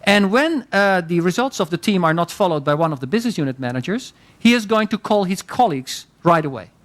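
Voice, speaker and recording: A man's voice: high voice, animated, fairly quick. A man leading a seminar, teaching conversationally and often affirming participants. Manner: conversational, frequent affirming interjections. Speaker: seminar lecturer.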